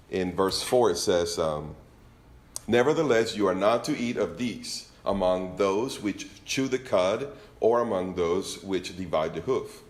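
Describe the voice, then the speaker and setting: A man's voice: deep voice, roomy sound. A man from Venezuela with a Spanish accent, teaching from scripture to a congregation in a conversational, joking way. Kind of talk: informal sermon teaching.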